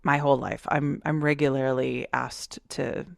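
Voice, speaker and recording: A woman hosts a podcast in a low, outspoken voice that carries. The sound is dry and close, with no room echo. She talks in a casual, thoughtful way. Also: flat delivery, normal speed, vocal fry. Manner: loud, outspoken voice. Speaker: podcast host. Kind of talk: casual conversation.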